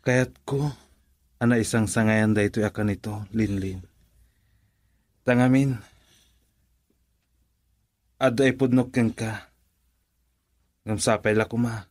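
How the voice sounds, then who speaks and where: A man's voice: lowish voice, steady tone, takes it slowly, pauses often, a little mellow, no room sound, clean studio sound. A man acting a middle-aged family friend, a tender and patient suitor in a radio drama, with a Filipino accent. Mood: earnest, confessing.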